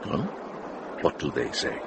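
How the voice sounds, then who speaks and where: An actor playing a wry older monk in a radio drama, gruffly kind, teasing and chiding the young. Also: male voice, measured pace, background noise, natural voice.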